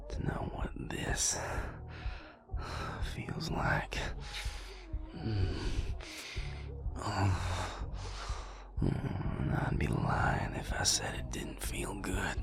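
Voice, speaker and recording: Man acting low gravelly whispering vampire lover. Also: animated, over music, full character voice. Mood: smitten, teasing. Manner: slow, murmuring, lingering over words with soft hums. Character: amorous vampire mate, man, teasing and smitten. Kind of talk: intimate romantic audio roleplay.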